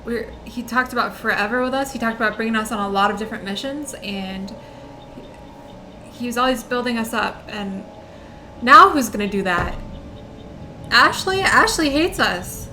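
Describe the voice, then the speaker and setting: A woman's voice: fairly high pitch, normal speed, sharp tone, soft background music. A woman streamer giving casual, playfully dramatic commentary while playing a video game.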